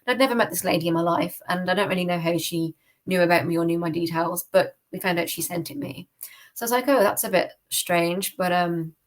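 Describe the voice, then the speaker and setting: A woman's voice: fairly low pitch, a little fast. A woman from the UK with a British accent, sharing personal testimony as a podcast guest in informal, conversational speech peppered with "like".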